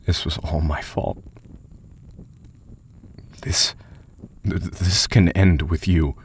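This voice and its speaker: A man playing a guilt-ridden, haunted character who has given up hope, speaking in a halting, pleading way in an audio drama. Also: low-pitched, steady tone, a little hiss or hum. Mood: guilty, despairing. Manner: halting, pleading, lapsing into whispers. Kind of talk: dramatic audio fiction acting.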